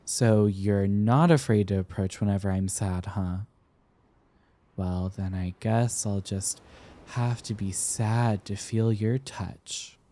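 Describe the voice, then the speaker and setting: Casting: male actor as smitten young boyfriend for roleplay ASMR, mellow and unhurried, playful, tender, slightly halting. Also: fairly low pitch, steady tone. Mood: coaxing, tender. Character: affectionate, teasing young man smitten with an old friend. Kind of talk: intimate roleplay ASMR acting.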